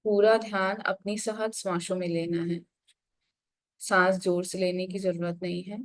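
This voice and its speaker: A woman with a slightly low voice guides a meditation. She gives instructions step by step, leaving frequent pauses between phrases. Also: flat delivery.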